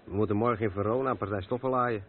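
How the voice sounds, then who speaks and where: An actor playing a practical, down-to-earth lorry driver in a Dutch radio drama. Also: male voice, on the low side, fairly even intonation, very fast, natural voice. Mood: calm, downplaying. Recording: muffled narrow-band old radio play, noisy.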